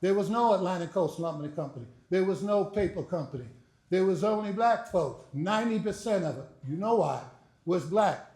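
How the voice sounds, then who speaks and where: Older man, loud speaker in an echoey hall. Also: lively intonation, moderate pace. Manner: booming oratory with rising emphasis; chatty, laughing, folksy asides. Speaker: speaker and author. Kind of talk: dramatic reading then casual talk to audience. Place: library event hall. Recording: echoey room.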